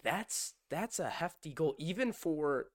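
A young man co-hosting a podcast in casual chat, slightly hoarse from a sore throat, joking and quizzing his co-host. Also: on the high side, expressive, unhurried, no echo, studio quality. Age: young adult. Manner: chatty, joking, asks his co-host questions.

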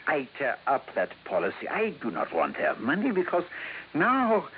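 An actor in a 1950s radio drama playing an excitable, boastful older scientist with a stammering, grandiose manner. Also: male voice, medium pitch, moderate pace. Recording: tinny, hissy 1950s radio recording.

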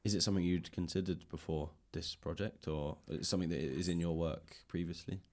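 A man's voice: low-pitched, fairly even intonation, fairly quick, a little mellow. A man hosting a conversational interview, speaking in a casual, reflective and discursive way. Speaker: podcast host, interviewer.

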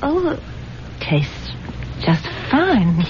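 An actress playing a dreamy, fragile, theatrical wife in a 1970s radio drama, with halting, drawn-out delivery. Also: female voice, on the high side, sing-song, heavy background noise, heavily acted. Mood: tender, pleased. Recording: muffled 1970s radio broadcast with music.

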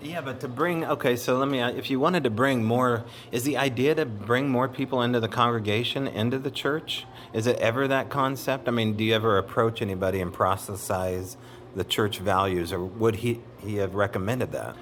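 A man interviewing a guest, asking halting questions full of fillers and restarts. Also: mid-range voice, fairly bright tone, slight background noise.

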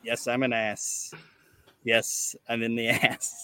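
A man hosting a casual comedy podcast, chatting and reading things out in a deadpan way. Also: mid-range voice, takes it slowly, clear, resonant tone. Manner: deadpan, monotone when reading. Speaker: podcast host. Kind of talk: casual comedic podcast chat with mock recitation.

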